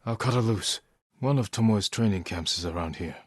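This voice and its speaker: An actor playing a stoic, honorable samurai warrior in a video game, speaking in a measured, earnest and restrained way. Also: male voice, fairly low pitch, slightly rough, no room sound, studio quality. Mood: grave, resolute. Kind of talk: scripted video game voice acting.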